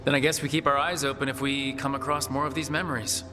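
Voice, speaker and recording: A man's voice: medium pitch, little pitch movement, quick pace, music in the background, lightly acted. A man playing an earnest, principled rebel hero who leads his companions in a fantasy game. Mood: pensive.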